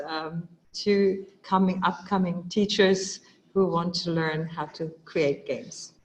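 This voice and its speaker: A woman hosts an online webinar and talks with enthusiasm. She pauses often on fillers and restarts her sentences. The sound comes through a video call. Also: mid-range voice, poor audio. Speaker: webinar host. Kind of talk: live webinar presentation.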